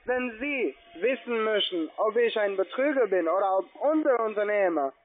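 High-voiced foreign-accented man on the phone. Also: animated. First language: not German. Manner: insistent, halting phrasing with repetitions. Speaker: call-centre phone agent. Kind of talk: argumentative sales phone call. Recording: muffled, band-limited phone line.

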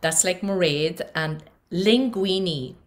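A middle-aged woman with a Donegal Irish accent, an Irish speaker by birth, speaks in a low voice. She chats easily about her musical roots over a video call from a room at home. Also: slow. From northwest Donegal, Ireland. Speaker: singer, guest artist. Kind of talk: casual introduction on a video call. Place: home room with a harp behind. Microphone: headphones on a video call.

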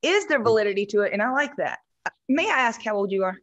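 Bright-voiced woman hosting an interview. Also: fairly high pitch, expressive, fast talker, muffled recording. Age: 40s. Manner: friendly, chatty questions. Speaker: host. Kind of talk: casual interview. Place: home living room. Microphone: studio mic in view.

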